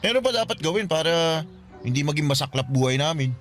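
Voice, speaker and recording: A man acting as a young Filipino guy in a radio drama, slightly high and very quick. He is weary and broke, grumbling with dry sarcasm. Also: animated, lightly acted. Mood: weary, sarcastic.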